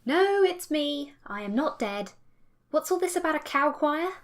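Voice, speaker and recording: A woman playing a brisk, exasperated show presenter in an audio comedy, impatient in manner. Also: sits high, expressive.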